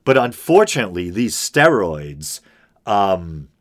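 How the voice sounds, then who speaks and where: A middle-aged man with a low, droning, semi-gravelly voice hosts his own podcast. He rambles in a self-deprecating way, full of ums and restarts, pitched on the deep side. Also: sing-song, takes it slowly. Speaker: podcast host. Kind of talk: casual solo podcast monologue.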